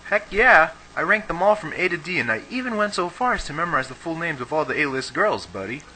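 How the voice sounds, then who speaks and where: Bright, brash teenage schoolboy played by a man. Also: on the high side, very animated, quick pace, full character voice, low-quality recording. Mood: boastful. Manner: slangy, cocky, emphatic. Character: brash, gossipy, girl-crazy teenage schoolboy. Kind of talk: amateur anime fan dub dialogue.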